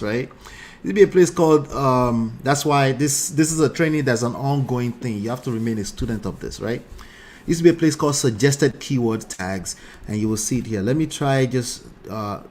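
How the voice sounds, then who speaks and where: A man in his forties with a Nigerian accent, teaching a live-streamed online lesson in a casual, chatty way from a small dark room, wearing headphones at a desk mic. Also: medium pitch, a little hiss or hum. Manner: casual, chatty, repeats himself, pleads with viewers. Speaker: online marketing coach.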